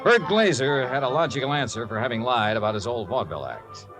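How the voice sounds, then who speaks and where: An actor playing a wry, kindly insurance investigator in 1950s radio drama style. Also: male voice, mid-range voice, lively intonation, fast talker, music in the background, natural voice. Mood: dry, kindly. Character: wry, kindly hard-boiled insurance investigator narrating his case.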